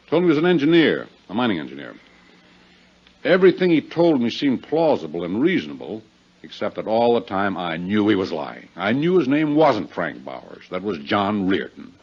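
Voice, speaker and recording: An actor playing an uneasy, defensive well-to-do man in a 1950s radio drama, speaking earnestly and formally with a husky voice. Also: low voice, sing-song, moderate pace, heavily acted. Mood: earnest, unsettled. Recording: dull, muffled 1950s radio recording.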